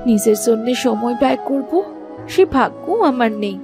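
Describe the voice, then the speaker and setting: A woman's voice: fairly high pitch, steady tone, normal speed, vocal fry. A woman voicing a meek, long-suffering young village daughter-in-law in a cartoon, plaintive and hesitant. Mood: resigned, plaintive. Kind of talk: cartoon moral story voice acting. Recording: loud background music.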